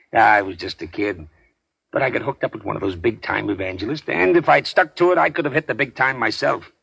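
An actor playing a rueful small-time gangster in a 1940s radio drama, husky and gravelly, rambling in a folksy, confiding way. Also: male voice, medium pitch, brisk, a bit bright. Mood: reminiscing.

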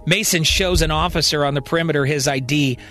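A male storyteller delivers scripted, dramatic narration for a fiction podcast. His voice is somewhat high for a man, and quiet music runs underneath. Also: fast. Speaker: storyteller, podcast writer and performer.

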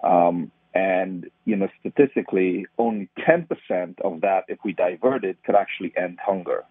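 A man's voice: low-pitched, moderate pace, no echo. A man from Trinidad with a Trinidadian accent, explaining his nonprofit work as an interview guest. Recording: tinny phone-like line, dry.

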